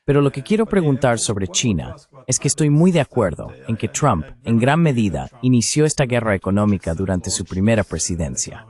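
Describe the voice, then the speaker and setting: A man voicing a translated interview as the interviewer, speaking in a measured, analytical manner. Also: mid-range voice, very animated, a little mellow.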